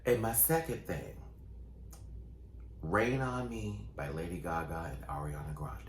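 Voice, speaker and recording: A man chatting sassily through a casual recap, his voice still low and groggy from just waking up. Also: reverberant, a little hiss or hum. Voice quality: voice sitting lower than his normal. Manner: sassy, playful, quick asides. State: just woke up, morning voice. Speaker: vlogger and show recapper.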